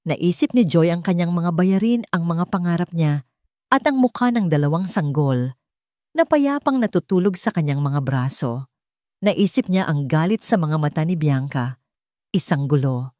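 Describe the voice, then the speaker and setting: A Filipino woman narrates a dramatic story in a lowish voice. Her pitch moves widely from phrase to phrase. The sound is close and dry. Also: lively intonation, moderate pace, warm tone, no echo.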